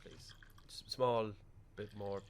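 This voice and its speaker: Irish actor as bumbling male undertaker, lower register, well-meaning, rambling and hesitant, radio drama. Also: steady tone, slow pace, slight background noise. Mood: awkward, chatty. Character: awkward, chatty, well-meaning male funeral director. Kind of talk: radio play acting.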